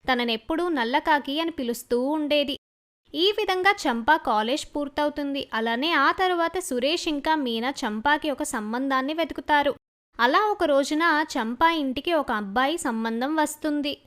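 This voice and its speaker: A woman narrates a scripted moral story in a high-pitched voice. She works as the storyteller, reading out events from a written script. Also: normal speed, a bit bright.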